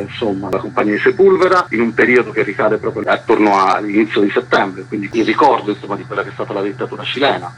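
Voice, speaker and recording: Male activist interview guest, lowish voice, earnest and wordy, faint noise and hum on the recording. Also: a bit bright. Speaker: cultural festival organiser and activist guest. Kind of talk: spontaneous radio interview. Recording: noisy line with electrical hum.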